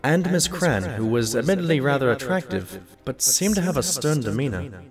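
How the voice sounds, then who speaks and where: A man voicing a genial doctor pony, a detective's companion, narrating in an expressive, wry storytelling manner. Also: medium pitch, moderate pace, natural voice. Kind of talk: storybook-like first-person narration in audio drama. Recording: music bed behind the voice. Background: background music.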